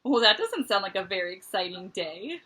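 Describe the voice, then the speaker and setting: A woman reading a picture book aloud to children like a teacher, with expressive emphasis and chatty asides. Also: mid-range voice, moderate pace. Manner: expressive, dramatic emphasis, conversational asides to young listeners.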